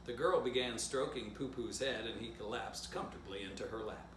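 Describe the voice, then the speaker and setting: A man's voice: on the low side, brisk. A man reading a children's story aloud as its author, with playful asides spoken directly to young listeners. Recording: roomy echo, background noise.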